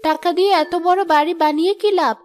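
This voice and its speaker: A woman as a high, reassuring young wife. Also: fast talker. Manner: steady, reassuring. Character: calm, resourceful young wife. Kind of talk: Bengali cartoon voice acting.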